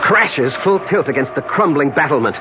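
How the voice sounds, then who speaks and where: A man's voice: a little high, expressive, moderate pace, natural voice. Man acting haunted obsessive, brooding, earnest, theatrical radio drama delivery, dull noisy broadcast sound. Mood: desperate, doomed. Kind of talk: 1970s radio drama acting and first-person narration.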